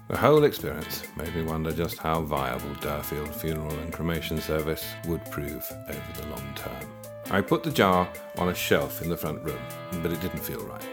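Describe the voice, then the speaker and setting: A man narrates his own written story in a dry, wry storytelling manner. His voice sits extremely low and deep. Guitar music plays clearly behind him. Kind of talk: scripted first-person narration.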